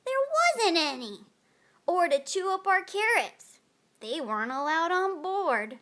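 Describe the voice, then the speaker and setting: A woman reading a picture book aloud to children in a dramatic, expressive storytelling style. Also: very high voice, a little slow.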